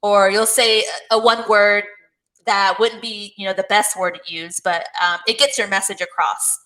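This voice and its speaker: A woman tutoring English in a casual one-on-one video-call conversation, speaking gently and encouragingly with frequent affirming murmurs. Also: medium pitch, fairly even intonation, moderate pace, low-quality recording.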